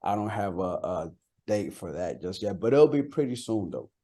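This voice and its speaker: A man's voice: deep voice, sing-song, moderate pace, warm tone, slightly rough. A man from Costa Rica, a native Spanish speaker, chatting casually as an interviewed rapper, slangy and repeating words for emphasis.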